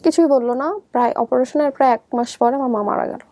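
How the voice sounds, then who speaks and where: High-pitched young Bangladeshi woman. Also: quick pace, mellow, no room sound. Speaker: guest telling her life story. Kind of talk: personal storytelling in conversation.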